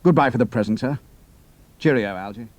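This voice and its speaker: An actor playing a cool, capable young pilot and spy, speaking in a clipped, matter-of-fact way. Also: male voice, mid-range voice, a little fast, darkish tone, close to an everyday voice. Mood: brisk.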